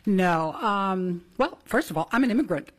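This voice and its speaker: A middle-aged woman from Venezuela speaks as a candidate on a talk show, with a slightly husky voice. She tells her story fluently and jokes at her own expense. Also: mid-range voice, expressive, moderate pace. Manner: self-deprecating, joking, fluent. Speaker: political candidate. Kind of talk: interview guest.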